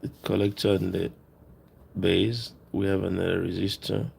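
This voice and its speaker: A man presenting a casual hands-on electronics tutorial, explaining as he works in a halting, informal way, thinking aloud. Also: sits low, monotone, gravelly, a little mellow, slightly rough.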